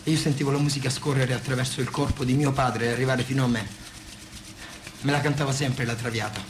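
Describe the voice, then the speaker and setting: A man's voice: medium pitch, steady tone, fast talker, background noise, lightly acted. A man acting a weary, worn-down working man in a theatrical monologue, insistent and wistful. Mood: wistful, tender. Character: weary working man, worn down, tenderly remembering his father. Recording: echoey room, loud music.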